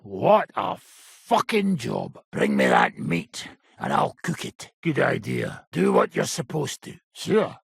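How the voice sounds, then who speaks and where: A man playing a gruff, foul-mouthed, menacing mercenary in a video game, with a gravelly, raspy voice and a coarse, swaggering, sardonic manner. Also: medium pitch, huge pitch swings, takes it slowly, no room sound, clean studio sound, exaggerated voice. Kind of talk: video game character acting.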